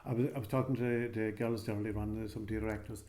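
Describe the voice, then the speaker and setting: A man's voice: lowish voice, steady tone, fast talker, mellow. A man interviewing casually and hesitantly, stumbling over the starts of his words, in a recording studio.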